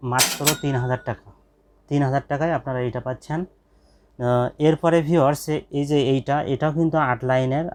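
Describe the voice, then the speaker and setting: A man with a Bangladeshi accent gives an informal product demonstration to viewers, pausing hesitantly and repeating key phrases. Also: medium pitch, a little mellow. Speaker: shop presenter selling office equipment.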